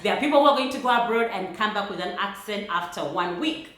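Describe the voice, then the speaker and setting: A woman from Uganda with a Ugandan accent, talking through points in a casual vlog in a measured, thoughtful way. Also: mid-range voice, lively intonation, fairly bright tone. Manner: thoughtful, measured, laughs lightly between points. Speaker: vlogger. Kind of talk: casual vlog talk to camera. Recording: very echoey room.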